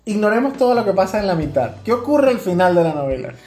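A woman's voice: medium pitch, very animated, fast talker, a little mellow. A woman chatting casually as a podcast co-host, prompting with questions and interjections. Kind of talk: casual conversational podcast chat. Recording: roomy echo with music behind.

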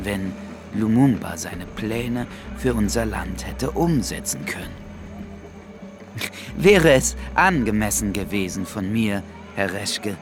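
Slow, menacingly polite security official. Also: male voice, lowish voice. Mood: smoothly menacing. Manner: formal, courteous, veiled threatening. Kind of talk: radio play dialogue and recollection.

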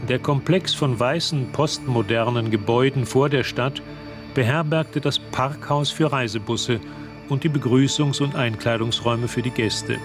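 An actor as a composed storyteller, reading scripted narration in a radio play. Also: male voice, medium pitch, moderate pace, lightly acted. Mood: composed, descriptive. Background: music.

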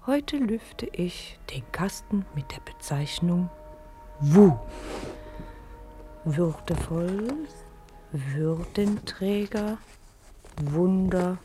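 An actress playing a dreamy, exact night watchwoman who murmurs haltingly to herself in a radio play. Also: on the low side, expressive, dark tone, faint music behind. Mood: musing. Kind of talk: German radio play acting.

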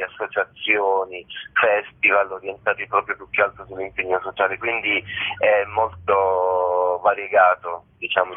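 A man being interviewed as a stage actor, talking haltingly as he searches for words over a phone call. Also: low voice. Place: on a phone call to a radio station. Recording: muffled narrow telephone line.

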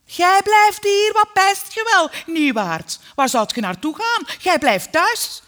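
Woman playing a shrill, bossy Flemish mother. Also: very animated, a little slow, a bit bright, exaggerated voice. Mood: commanding, scolding. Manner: bossy, firm, biting. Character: domineering working-class Flemish mother, shrill, commanding and scheming.

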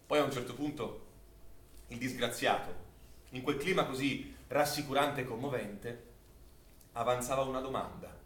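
An actor playing a bitter villager who recounts wartime occupation in a vivid, theatrical radio-play monologue. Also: male voice, medium pitch, normal speed, long pauses, natural voice. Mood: wry, confiding. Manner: expressive, dramatic storytelling delivery. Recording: roomy, distant stage recording with faint noise.

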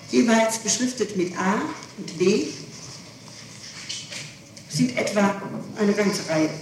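A woman is being questioned on an old tape recording. She sounds far from the microphone in a very echoey room, with clear noise over her voice. She answers haltingly, correcting herself and insisting on details. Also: medium pitch. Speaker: person under police questioning.

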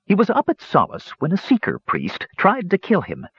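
A man's voice: sits high, huge pitch swings, normal speed, breathy edge, dry recording, lo-fi sound, pitched above the performer's own voice, exaggerated voice. A man voicing a grumpy, battle-weary old dwarf hermit in a fantasy audiobook, with coarse, growling, folksy rustic speech. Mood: rambling, folksy.

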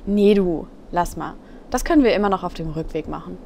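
A woman's voice: lowish voice, a little fast, gravelly. A woman playing a young priestess in a parody fantasy audio drama. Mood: objecting. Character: young priestess, gentle objector in a hero party. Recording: loud background noise.

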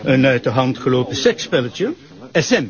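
An actor with a Flemish accent playing a brisk, commanding prosecutor in a radio play. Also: male voice, mid-range voice, big pitch swings, a crowd in the background, lightly acted. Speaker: public prosecutor. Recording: dull lo-fi radio recording.

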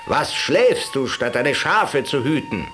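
A man voicing a proud, wrathful caliph in a told tale, shouting commands with scorn. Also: mid-range voice, very animated, slow, music in the background, voice pushed up. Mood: imperious anger.

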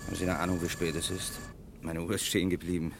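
An actor playing a polite, persistent lawyer in an old radio crime play, talking in a measured, dry way. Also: male voice, low voice, level tone, slight background noise. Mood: puzzled. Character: puzzled male lawyer, middle-aged, polite, persistent. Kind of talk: 1950s-80s radio drama acting.

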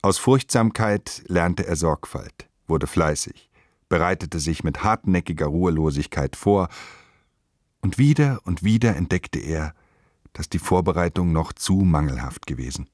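Male narrator for literary radio drama: very low voice, deliberate phrasing, dry close studio sound. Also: animated, a little mellow, no room sound.

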